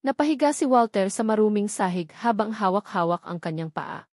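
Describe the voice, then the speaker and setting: Female audiobook narrator, mid-pitched, reading scripted dramatic fiction in a dry recording. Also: expressive, fairly quick, a little mellow, dry recording.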